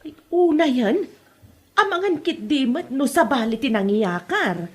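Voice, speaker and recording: A woman's voice: high voice, measured pace. A woman acting an astonished, sympathetic village woman with an Ilocano accent in a comedy drama, full of exclamations. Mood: surprised. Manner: exclaiming, expressive.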